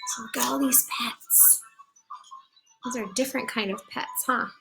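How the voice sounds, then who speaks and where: A woman reading a picture book aloud to young children in an expressive storytelling way, speaking directly to her listeners. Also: fairly high pitch, unhurried, vocal fry, over music, poor audio.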